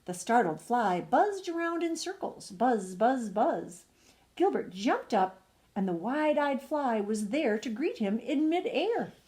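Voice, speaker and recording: Older woman reading slowly, very lively pitch. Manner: expressive, with drawn-out emphatic words. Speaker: retired second-grade teacher. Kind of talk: children's bedtime story reading.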